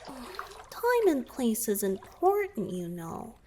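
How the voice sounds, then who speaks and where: A shy young merman boyfriend, acted by a woman, talks to the listener in a romantic ASMR roleplay. The voice sits fairly high and moves very slowly, hesitant and easily flustered yet gently earnest. Faint noise and trickling water lie behind it. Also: sing-song. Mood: explaining, earnest. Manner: hesitant, flustered, with sighs and murmured asides.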